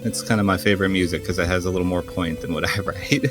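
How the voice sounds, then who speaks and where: A man's voice: low voice, steady tone, quick pace, over music. A man chatting casually as a player on a tabletop podcast. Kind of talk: casual chat.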